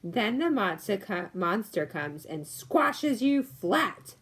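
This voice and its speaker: A woman's voice: mid-range voice, sing-song, slow, a bit bright, slightly rough, exaggerated voice. A bossy little storybook girl with a sneer, as a woman reader puts her on. She talks down to the other children. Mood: sneering threat. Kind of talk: picture-book read-aloud.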